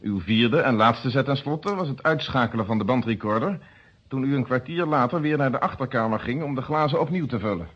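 An actor in a radio play voicing a shrewd, methodical police inspector, speaking in a measured, formal and articulate way. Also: male voice, medium pitch, slightly rough, natural voice. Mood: coolly accusing. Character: male police inspector, shrewd, methodical, quietly triumphant accuser. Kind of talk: Dutch radio drama acting, formal deduction. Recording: dull, muffled old radio recording.

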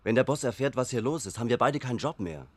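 A man's voice: mid-range voice, animated, quick pace, husky. An actor in a radio drama playing a worried, timid male workmate who speaks anxiously and hesitantly. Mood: worried, uneasy.

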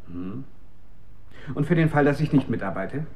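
A man's voice: mid-range voice, expressive, normal speed, a little mellow, lightly acted. An actor playing a disillusioned male scientist in a radio drama, reflective, hesitant and articulate. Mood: wary. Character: brooding, disillusioned male scientist at a weapons works. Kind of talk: German radio drama acting.

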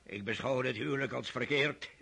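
An actor playing a stern, mocking older aristocratic father in a radio drama, with a husky voice and scornful laughs. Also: mid-range voice, moderate pace, pushed and bright, full character voice.

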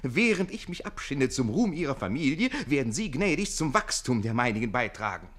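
An actor in a classic German radio play playing a witty, scheming young bridegroom in service, theatrical, ironic and eloquent. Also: male voice, mid-range voice, big pitch swings, brisk, a bit bright. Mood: bitterly sarcastic. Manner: theatrical, ironic, eloquent, shifting into conspiratorial muttering.

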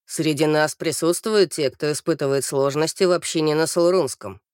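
A woman voicing a stern, bearded male police captain from an audiobook, formal and commanding with crisp diction. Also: low voice, normal speed, darkish tone, no room sound, studio quality, voice pushed down, lightly acted. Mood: formal, pointed.

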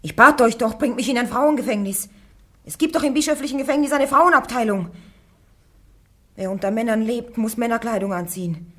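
A woman's voice: fairly high pitch, a little fast, close to an everyday voice. An actress playing a stubborn, plain-spoken young woman prisoner on trial in a German radio play. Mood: indignant pleading.

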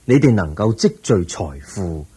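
Serene wise sage in a radio play, deep dark voice, solemn, swooping intonation. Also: male voice, very animated, normal speed, husky, heavily acted. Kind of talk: radio drama acting.

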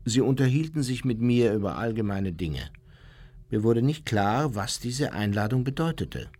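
An unrepentant male war criminal in a radio drama. His mid-pitched voice is husky, and he recounts his past in measured, formal sentences without emotion. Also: darkish tone. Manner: measured, matter-of-fact, formal. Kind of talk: radio play first-person memoir narration.

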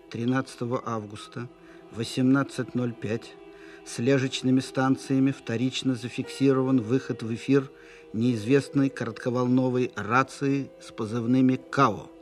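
An actor playing an officer who reads out an urgent military dispatch in an official, measured, impersonal manner for an audio play. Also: male voice, mid-range voice, natural voice. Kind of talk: formal read-out dispatch in a Russian audio play.

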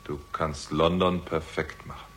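A man's voice: a bass voice, level tone, unhurried, fairly bright tone. An actor playing a weary, bitter theatrical agent who narrates his own story in a terse, sardonic way in a 1960s German crime radio drama. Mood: bitter, accusing.

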